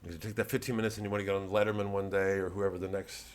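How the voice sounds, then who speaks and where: A middle-aged American man, a comedian, talking casually in a halting, digressive monologue full of repeats and asides. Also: deep voice, level tone, a little hiss or hum. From United States. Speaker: stand-up comedian and satirist. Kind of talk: rambling casual monologue to an audience of fans.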